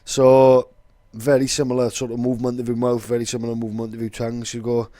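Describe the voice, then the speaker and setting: A man's voice: medium pitch, completely flat delivery, quick pace, dark tone, no echo. A young man with a Welsh accent, casually explaining in a rambling, sweary way with lots of fillers. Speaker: vlogger.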